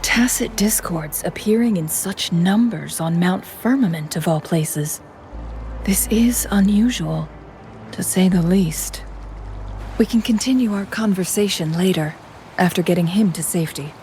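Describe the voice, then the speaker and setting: Low-voiced, composed lady adviser. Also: slight background noise. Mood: concerned, measured. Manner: measured, formal, calm. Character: composed, courteous, analytical noblewoman adviser. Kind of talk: video game voice acting.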